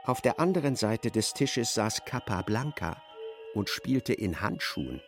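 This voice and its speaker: A man narrating a radio play as literary storyteller, in a measured and wry manner. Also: lowish voice, lively intonation, over music.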